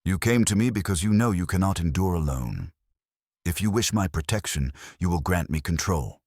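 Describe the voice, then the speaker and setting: An imperious older detective, played by a man in an audio mystery, calculating and unhurried. The voice is extremely deep and husky, heavy with authority. Sentences come as formal, flat commands, with marked rises and falls. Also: no echo, studio quality. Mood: grave, commanding. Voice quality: heavy, weighty.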